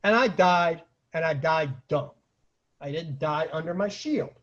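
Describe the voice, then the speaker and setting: Male storyteller, high-pitched, casual and comic, acts out dialogue and noises, dull lo-fi recording. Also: a little slow, a bit bright. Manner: acts out quotes and sound effects, bursts into exclamations. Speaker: storyteller and host of an online story series.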